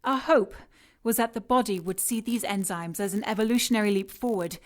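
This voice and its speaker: An actress playing a cold, seductive scientist in an audio drama, speaking with clinical precision and teasing condescension. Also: female voice, medium pitch, fairly quick, close to an everyday voice. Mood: clinical, matter-of-fact. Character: cold, mocking, seductive female scientist in charge of experiments. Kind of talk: audio drama acting, sci-fi noir.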